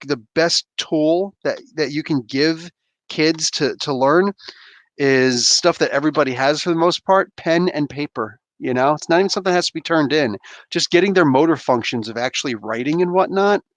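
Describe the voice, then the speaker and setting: Laid-back rambling man, slightly high voice. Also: no echo. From New Jersey, USA. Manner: laid-back, rambling, laughs often, slangy. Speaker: livestream host. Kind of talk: casual livestream chat, reading chat and opening toys.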